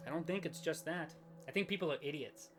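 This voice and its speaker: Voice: medium pitch, a little fast, over music, close to an everyday voice. A performer playing a talkative, opinionated grim reaper in an audio drama, conversational and matter-of-fact.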